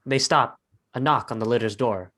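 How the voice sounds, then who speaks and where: A man with a medium-pitched voice narrating stage directions in a dramatic script reading. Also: normal speed, sharp tone.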